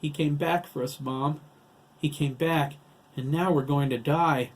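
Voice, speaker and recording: A man's voice: a little high, steady tone, measured pace, pitched above the performer's own voice. A man voicing a distraught, frightened yet defiant son in a hysterical, pleading manner, in an audiobook.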